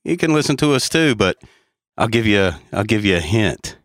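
A man's voice: lowish voice, very animated, normal speed, a bit bright, no echo, studio quality. A man co-hosting a casual podcast, crude and joking. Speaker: podcast co-host.

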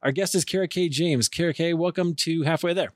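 A man from Iowa hosting a podcast interview in a friendly, relaxed way with plenty of ums. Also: fairly high pitch, brisk, no room sound. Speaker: podcast host.

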